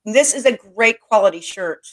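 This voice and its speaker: A woman's voice: medium pitch, animated, moderate pace. Chatty enthusiastic woman host. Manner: enthusiastic, chatty, repeats herself. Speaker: interview host. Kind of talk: casual video call interview.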